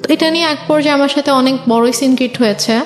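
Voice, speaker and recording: A woman's voice: high-pitched, very fast. A woman with a Bangladeshi accent telling her own life story as a guest, conversationally, quoting what people said. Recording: echoey, reverberant room.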